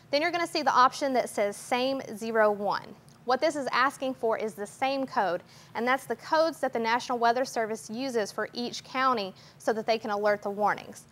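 A young woman giving an orderly, step-by-step instructional demonstration in a clear explaining manner. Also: fairly high pitch, fairly bright tone. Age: young adult. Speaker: guest demonstrator. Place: TV station weather office, indoors. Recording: noticeable background noise.

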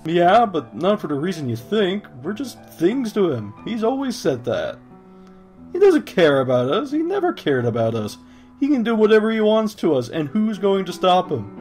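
A man's voice: high-pitched, big pitch swings, normal speed, a little mellow, soft background music. A man playing a bitter, protective young skeleton brother in a comic dub, talking urgently and informally. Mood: bitter, frightened. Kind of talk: comic dub dramatic acting.

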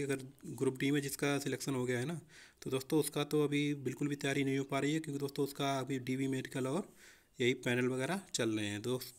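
A young man explaining exam preparation informally as a coaching teacher, often addressing listeners as friends. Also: medium pitch.

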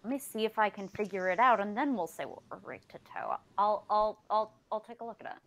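A woman's voice: mid-range voice, fairly quick, close to an everyday voice. A woman playing a blunt, sweary, practical spaceship mechanic in an online roleplay, with folksy phrasing. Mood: brusque. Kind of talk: improvised online tabletop roleplay.

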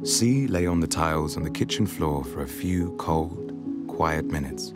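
Brief: very low, measured, deadpan storyteller for a poetry film, prominent music underneath. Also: male voice. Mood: measured, wry. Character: measured wry storytelling male narrator. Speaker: narrator. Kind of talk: scripted poetry film narration.

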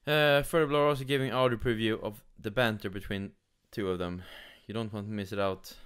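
A man from Sweden with a Swedish accent, giving casual, somewhat halting gaming news commentary. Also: fairly low pitch, steady tone, fairly bright tone, smooth. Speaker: gaming YouTuber. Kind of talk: casual news commentary, partly reading aloud.

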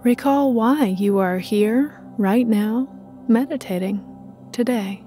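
A woman leads a guided meditation at a very unhurried pace. Her medium-pitched voice is mellow and relaxed, calm and measured in delivery. Soft music plays behind her.

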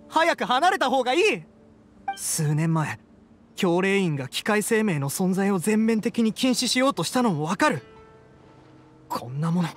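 A woman's voice: medium pitch, natural voice. A woman voicing a frightened, suspicious young male villager who stammers with agitation in an anime game. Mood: fearful, bitter. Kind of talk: Japanese anime game voice acting.

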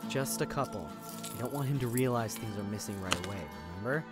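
An actor playing a bold, greedy would-be thief in an audio drama, blunt and commanding. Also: male voice, lowish voice, quick pace, over loud music, natural voice. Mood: excited, brisk. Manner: blunt, commanding, often swearing.

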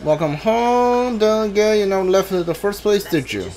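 A man with a fairly high voice streams a video game playthrough. He talks casually and haltingly, thinking aloud and reading screen text as he goes. Game music plays behind him. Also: lively intonation, darkish tone.

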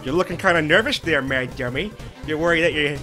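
A man's voice: a little high, a little fast, sharp tone, faint music behind. A man voicing a cocky, foul-mouthed wisecracker in a comedic game dub, with a casual mocking manner. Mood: taunting.

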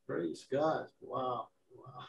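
A man's voice: mid-range voice, steady tone, extremely slow, vocal fry, a little hiss or hum. Older man interviewer in a roomy space. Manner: gentle, affirming with frequent amens. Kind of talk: interview on a video call. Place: living room at home. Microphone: video call webcam. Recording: roomy echoing webcam audio.

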